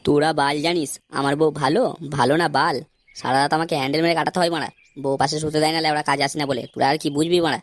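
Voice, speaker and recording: A man's voice: a little high, steady tone, racing, slight background noise, natural voice. A man voicing a lazy, henpecked young husband in an amateur comedy cartoon, grumbling crudely. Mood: bitter.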